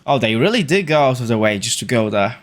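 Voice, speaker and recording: A man livestreaming a video game, casually thinking out loud in short muttered remarks. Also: mid-range voice, big pitch swings, fast talker. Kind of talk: casual gameplay commentary.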